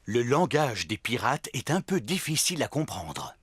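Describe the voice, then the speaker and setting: Animated cartoon narrator. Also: male voice, mid-range voice, moderate pace, slightly rough, no room sound, clean studio sound. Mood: cheerful, explanatory. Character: calm storybook narrator of children's cartoon. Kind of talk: scripted narration, French cartoon dub.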